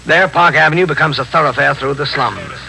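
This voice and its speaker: An actor in a 1950s radio drama playing a methodical, matter-of-fact police captain who narrates and questions in a measured way. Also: male voice, on the high side, vocal fry, bright, forceful tone, exaggerated voice. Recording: noisy vintage radio broadcast recording.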